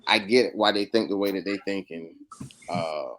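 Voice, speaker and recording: A man with a fairly low voice and an American accent talks as a podcast co-host. He circles his point, restarting and repeating words, in a casual conversational style. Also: moderate pace, fairly bright tone, low-quality recording. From United States.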